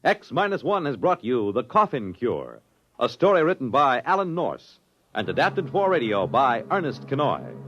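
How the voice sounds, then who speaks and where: A man's voice: mid-range voice, sing-song, moderate pace, fairly bright tone, a little hiss or hum. A man announcing a 1950s radio drama programme in a formal, theatrical scripted style. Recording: muffled old radio broadcast, music behind. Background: music.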